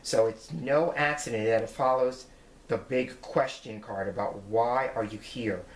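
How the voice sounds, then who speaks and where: A man's voice: mid-range voice, measured pace, slight background noise. A man in his forties, a tarot reader, giving his weekly forecast in an earnest, reflective way as he explains each card. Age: 40s. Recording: roomy echo.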